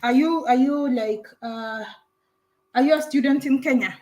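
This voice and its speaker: A woman's voice: high-pitched, moderate pace, a little mellow. A woman hosting a live stream, chatting casually with a caller and laughing often while she unboxes a camera.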